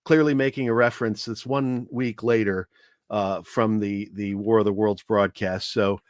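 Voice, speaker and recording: Man telling history in a measured way, dry sound. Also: fairly low pitch, fairly even intonation, no room sound. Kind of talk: informal historical talk with anecdotes.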